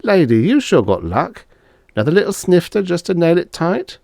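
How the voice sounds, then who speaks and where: A tipsy, brash man playing host at the gambling table, acted out by a male audiobook reader. His voice rides up high above a narrator's tone and swings about with slangy, folksy bravado. He sounds jovial and boozy, in a dry recording. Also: very animated, moderate pace, creaky, warm tone, no echo. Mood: admiring, jovial. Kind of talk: audiobook character voice.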